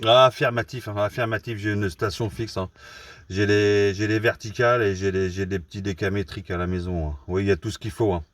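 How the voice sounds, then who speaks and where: Low, dry-voiced man on radio. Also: fairly even intonation, brisk, dry recording. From Normandy, France. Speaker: amateur CB radio operator. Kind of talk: casual radio contact chat. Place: mobile radio station.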